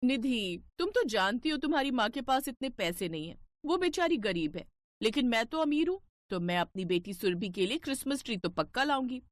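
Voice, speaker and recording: A woman's voice: fairly high pitch, lively intonation, brisk, no echo, clean studio sound, natural voice. A woman voicing a boastful, snobbish younger daughter-in-law in a cartoon story, haughty and taunting.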